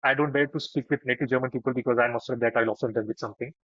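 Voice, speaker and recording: A man's voice: medium pitch, steady tone, racing, fairly bright tone, no echo. Marathi-speaking man, talkative and opinionated, dry muffled call audio; casual call chat.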